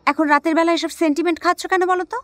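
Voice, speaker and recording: A woman's voice: very high-pitched, fairly even intonation, rapid-fire, fairly bright tone, no room sound. An actress playing a detective's cheeky, impatient, curious female companion in an audio drama, teasing and questioning. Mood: grumbling.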